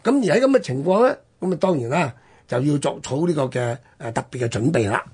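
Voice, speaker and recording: Male history host, Hong Kong Cantonese, slightly high and husky, conversational storytelling in broken-up phrases. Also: animated, fast talker, darkish tone. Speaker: radio history programme host.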